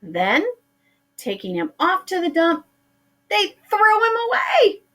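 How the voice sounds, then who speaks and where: A woman's voice: extremely high pitch, wildly swooping pitch, slow, fairly bright tone. A middle-aged woman teacher reading a picture book aloud to her pupils, drawing out words for effect.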